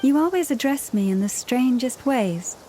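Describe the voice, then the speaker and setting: A woman playing a gentle, dignified healer in a video game cutscene, calm and soft-spoken. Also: high-pitched, moderate pace, a little hiss or hum.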